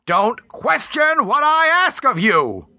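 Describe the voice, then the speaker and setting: A man voicing a commanding female earth spirit who speaks in slow, drawn-out, menacing words. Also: fairly high pitch, very animated, pushed and bright, dry recording, pitched above the performer's own voice, exaggerated voice.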